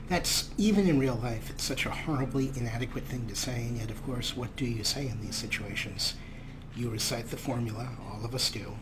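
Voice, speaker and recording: A man's voice: medium pitch, a little fast, background noise. A man hosting a game playthrough, reading dialogue choices aloud and adding reflective, explanatory commentary. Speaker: let's play host. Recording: game music behind the voice.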